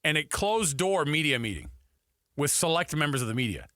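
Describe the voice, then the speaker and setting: Animated American sports radio guy. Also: a little high, fairly quick, no echo, studio quality. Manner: animated, emphatic, conversational. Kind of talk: sports talk radio banter.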